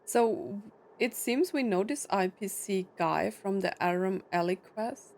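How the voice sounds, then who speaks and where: Creaky-voiced woman reacting to a game. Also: medium pitch, unhurried, darkish tone. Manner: informal, brief puzzled reactions. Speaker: game streamer. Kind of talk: casual gameplay reaction commentary.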